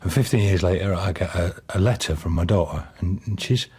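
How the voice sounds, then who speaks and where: Radio drama dad, thirties: low, very husky, halting, confessional, guilt-ridden. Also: male voice, darkish tone. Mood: guilty, tender. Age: 30s. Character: guilt-ridden, halting father in his thirties, plain-spoken. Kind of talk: naturalistic radio drama acting.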